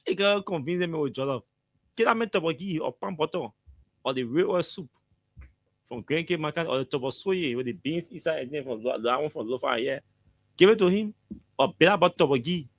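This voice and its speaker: A Liberian man hosting a radio talk show, emphatic and animated, often repeating himself. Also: on the high side, fairly quick, no room sound. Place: radio studio.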